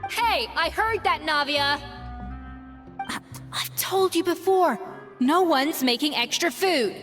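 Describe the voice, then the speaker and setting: Stern young game chef, high sharp commanding voice, reverb n music behind. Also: female voice, slow pace. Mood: defensive. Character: exacting young female head chef, stern, brusque, secretly kind. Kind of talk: video game voice acting.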